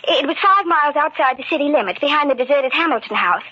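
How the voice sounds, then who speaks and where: Anxious young society lady, high voice, earnest, hesitant, radio drama, muffled lo-fi recording. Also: lively intonation, quick pace, dry recording. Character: earnest, anxious rich young woman with a secret romance. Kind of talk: old-time radio drama acting.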